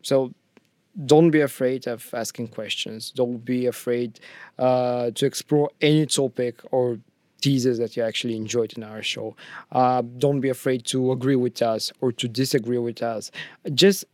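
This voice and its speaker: A man's voice: mid-range voice, fairly even intonation, moderate pace, a little mellow, dry recording. A young man from Bulgaria with a Bulgarian accent, co-hosting a casual podcast in a hesitant, joking manner. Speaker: podcast co-host. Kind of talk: casual scripted podcast chat.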